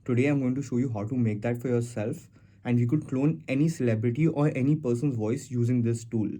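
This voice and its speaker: A man hosting a casual software tutorial, explaining things step by step as he goes. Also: medium pitch, fairly quick. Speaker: tutorial host. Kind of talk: casual screen-recorded software walkthrough.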